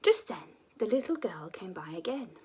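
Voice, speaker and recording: A woman reading a children's story expressively, often dropping to a hushed, breathy delivery. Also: mid-range voice, big pitch swings, normal speed.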